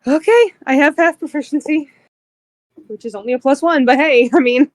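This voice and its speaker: A woman's voice: high-pitched, normal speed. A woman talking casually and informally, with some swearing, as a player in a tabletop game.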